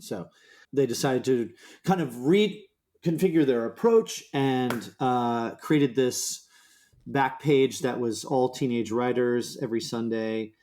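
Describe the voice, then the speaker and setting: An American man with a medium-pitched voice speaks as a guest author in an interview. He recounts his own experiences in a conversational, storytelling style. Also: mellow. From Connecticut, United States.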